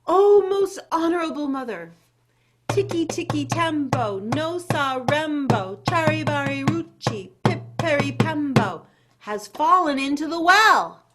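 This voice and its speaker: Woman acting a high-pitched frantic little boy. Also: lively intonation, a little slow, over loud music. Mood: urgent. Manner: breathless, shouting, reciting a long name. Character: small frantic boy, polite, pleading for help.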